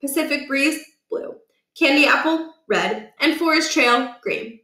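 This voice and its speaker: A woman narrates a children's book expressively, in a slightly high voice. Her phrasing is measured and emphatic, and the room adds a clear echo to the recording. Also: bright, forceful tone. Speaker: storyteller reading aloud.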